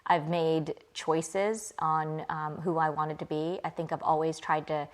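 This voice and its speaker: A woman with a slightly low voice speaks as an interview guest. She tells personal stories casually. Her manner is reflective and conversational. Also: flat delivery, measured pace. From born in England, grew up in small-town Virginia, USA. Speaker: interview guest, company CEO.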